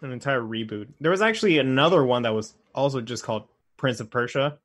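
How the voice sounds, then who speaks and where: A man's voice: mid-range voice, animated, fast talker, a bit bright. A man co-hosting a podcast, bantering in an informal, playful way. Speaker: podcast co-host. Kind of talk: casual podcast banter about games and films.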